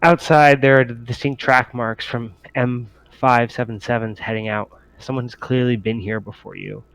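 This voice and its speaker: A man's voice: mid-range voice, fairly even intonation, moderate pace, vocal fry, a bit bright, clean tone, no room sound, rough recording. A man working as game master, narrating a sci-fi tabletop roleplaying game in descriptive, improvised storytelling.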